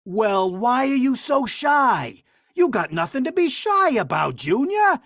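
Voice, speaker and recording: A woman's voice: high voice, sing-song, a bit bright, no room sound, clean studio sound, exaggerated voice. A woman playing an exasperated, blustering middle-aged father in a vintage-style radio play. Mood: pleading, stern. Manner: blustery, quick to give up in frustration.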